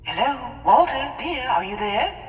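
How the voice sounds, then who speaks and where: A woman's voice: high-pitched, sing-song, unhurried, echoey room, big-room sound, far from the mic, muffled recording. An actress playing a composed, resourceful woman in a video game, giving clipped instructions to allies over a radio link. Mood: composed, affectionate. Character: composed, resourceful woman guiding allies through enemy offices.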